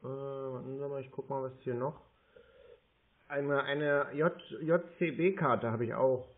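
A man on a telephone call answers in a calm, unhurried way and reads numbers out one digit at a time. His pitch is in the middle range. The sound is thin and boxy, like a narrow phone line. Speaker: caller posing as a card holder.

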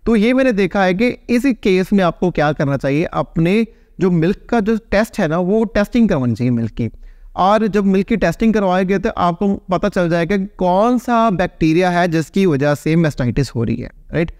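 Man in his 30s, vet explainer to camera, fairly high voice, dry close sound; easygoing and conversational. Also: expressive, moderate pace, darkish tone, no echo. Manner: conversational, keeps adding a checking "right?". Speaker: veterinarian answering viewer questions. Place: small plain indoor room, seated.

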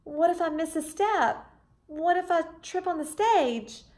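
A woman's voice: very high-pitched, animated, unhurried, darkish tone, pitched above the performer's own voice. Woman voicing an anxious, earnest little ballerina girl. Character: anxious young ballerina girl, nervous then determined.

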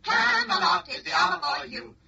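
An actress playing a chirpy pitchwoman in an old-time radio jingle parody. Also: expressive, normal speed, vocal fry, bright, forceful tone, full character voice. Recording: distant, dull, very noisy old radio.

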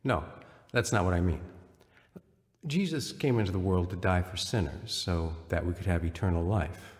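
An actor playing a calm, devout attorney, measured and plain-spoken, in a radio drama. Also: male voice, low voice, long reverb tail, lightly acted. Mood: earnest. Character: calm, devout male court-appointed attorney.

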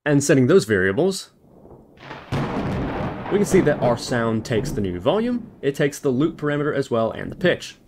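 A man's voice: mid-range voice, very animated, moderate pace, music in the background. A man narrating an instructional screencast as the presenter, explaining step by step in a walkthrough manner. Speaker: tutorial presenter.

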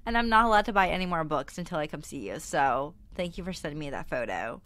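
A young woman from Vermont co-hosting a book podcast in casual chat, sounding stuffed up from a cold, chatty with quick asides. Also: low voice, expressive, a bit bright, dry recording. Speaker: podcast co-host.

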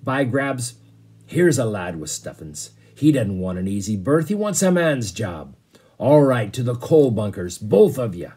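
Gruff, bullying old ship's captain for a storybook, acted by a man, husky and roaring. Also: mid-range voice, sing-song, unhurried, warm tone, voice pushed up. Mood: gruff, softening. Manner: roaring, bullying, salty exclamations. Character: gruff, blustering old sea captain, bellowing orders.

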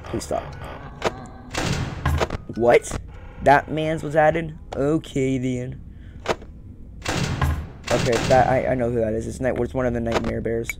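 A man's voice: mid-range voice, fairly even intonation, slow pace, vocal fry, pauses often, music in the background. A young man giving casual game commentary, nervously muttering and repeating his words over and over. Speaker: gaming video host. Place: indoor room at home.

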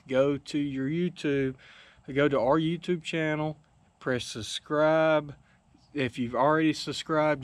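Young guy talking to the camera outside, lots of ums and y'alls, arm's length cam. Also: medium pitch. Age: young adult. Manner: rambling, many fillers and restarts, addresses viewers as y'all. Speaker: outdoors channel host. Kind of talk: casual vlog outro. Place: outdoors in a dirt field by trees.

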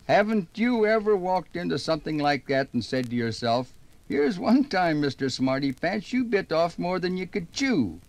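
A man's voice: high-pitched, sing-song, moderate pace, dry recording. A man hosting in a folksy, chatty 1950s radio narration style, talking straight to listeners. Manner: chatty, conversational.

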